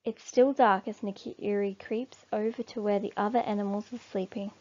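A woman reading a children's storybook aloud expressively, with deliberate emphasis. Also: mid-range voice, steady tone, mellow, muffled recording. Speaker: storybook reader.

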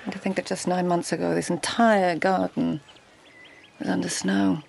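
An actress in a radio drama playing a melancholy, wry lady-in-waiting poet of old Japan, speaking in a measured, poetic way with a creaky voice. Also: on the low side, fairly even intonation, darkish tone, slight background noise. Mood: wistful. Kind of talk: BBC radio drama acting.

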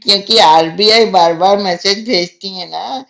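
A man's voice: high-pitched, measured pace, gravelly, fairly bright tone, full character voice. A man voicing an insolent young boy who makes taunting, mock-polite prank calls in a comedy sketch. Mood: sly, teasing.

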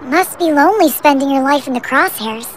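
A woman's voice: sits high, expressive, fairly quick, background noise. A woman voicing a polite, curious young school student in an amateur anime dub. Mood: curious, earnest. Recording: music behind the voice.

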